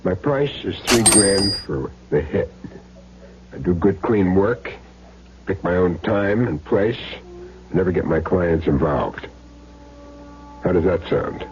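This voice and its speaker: A deep-voiced, deadpan hit man. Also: slow, long pauses. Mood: cool, businesslike. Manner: deadpan, sardonic, terse. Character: cool, wry professional hit man for hire. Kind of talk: old-time radio crime drama acting. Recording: muffled, noisy old radio drama recording.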